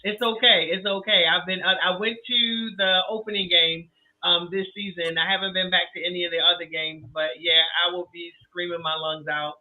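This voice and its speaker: A woman's voice: fairly low pitch, steady tone, normal speed. A woman guest chatting loosely on a podcast, her voice getting scratchy. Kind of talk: casual podcast chat. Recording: tinny phone-like line.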